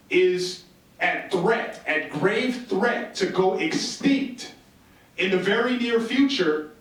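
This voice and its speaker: Echoey bit far from mic, american guy with a high voice doing a storytelling style talk, chatty and wry. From United States, Northern Plains. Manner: conversational, rhetorical repetition, wry humour. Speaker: public speaker, storyteller. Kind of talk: live conference talk, informal storytelling. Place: indoor stage talk in a roomy venue. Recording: roomy echo, distant microphone.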